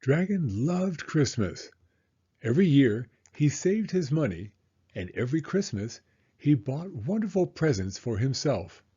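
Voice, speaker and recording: A man reading a storybook aloud to young children in a deliberate, expressive storytelling way. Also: medium pitch, breathy edge, lo-fi sound.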